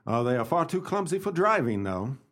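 A man acting in a radio play as a gentleman's loyal, practical companion, speaking in an emphatic, theatrical way. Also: mid-range voice, big pitch swings, brisk. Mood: grumbling.

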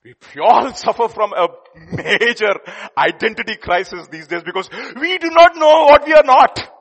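A man preaching a sermon, emphatic, jumping between loud declarations and hushed asides. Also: very high voice, lively intonation, moderate pace. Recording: long hall reverb.

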